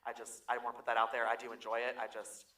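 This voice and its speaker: A man's voice: mid-range voice, completely flat delivery, quick pace, pushed and bright. A man co-hosting a casual podcast about music, speaking in an emphatic, opinionated and playfully combative way. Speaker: podcast co-host.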